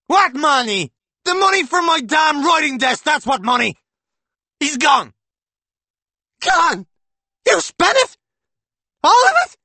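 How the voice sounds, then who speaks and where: A harried husband shouting in sarcastic exasperation, as a male narrator acts him out. His voice is strained high, bright and tense, lurching all over the place. The recording is close and dry. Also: big pitch swings, slow, long pauses, dry recording, exaggerated voice. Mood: furious.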